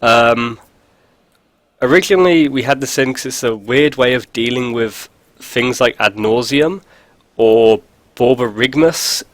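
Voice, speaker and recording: A man with a head cold, his voice stuffy and sniffly, rambles through a casual card-game walkthrough. He talks at an everyday pitch, peppering his talk with ums and dry asides. The recording is dry and close, with little room sound. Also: measured pace, dry recording. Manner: rambling, filler-heavy, dry jokes. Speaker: video host. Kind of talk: casual gameplay commentary.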